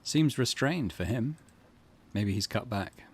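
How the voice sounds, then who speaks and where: A man's voice: mid-range voice, lively intonation, slow pace, slightly rough. A man hosting a gaming channel, giving conversational, musing let's play commentary and reading game text aloud.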